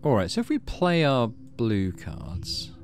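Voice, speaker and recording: Casting: male gaming host, easygoing, highly animated intonation, reads on-screen text and muses aloud, slight background noise. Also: slow pace, creaky. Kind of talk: casual game playthrough, reading text aloud.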